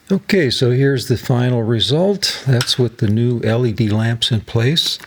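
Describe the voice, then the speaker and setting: A man narrating a hands-on tutorial as host, talking haltingly as he thinks aloud while he works. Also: fairly low pitch, slight background noise. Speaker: host of a DIY electronics repair channel.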